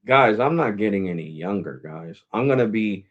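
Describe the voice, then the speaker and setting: A man's voice: lowish voice, animated, moderate pace. Rambling man in his forties, lo-fi sound. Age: 40s. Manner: rambling, says "bro" and "guys" a lot; switches to Spanish with family. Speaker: live stream host. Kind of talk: casual live stream chat.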